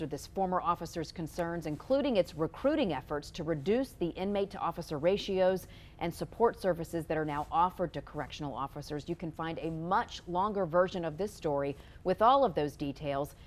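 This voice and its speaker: A woman reporting the news, reading a scripted broadcast narration. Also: lowish voice.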